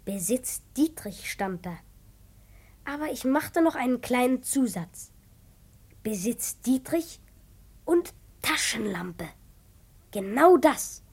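A woman's voice: on the high side, expressive, slow pace, long pauses, husky, heavily acted. A woman playing an eager, self-important twelve-year-old boy detective in a children's radio play, earnest and easily indignant. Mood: secretive narrating. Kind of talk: children's radio play acting and first-person narration.

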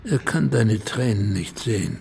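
An actor playing a solemn male companion who narrates intimately in a radio play, with a gravelly, measured voice. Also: lowish voice, lively intonation, darkish tone, slight background noise.

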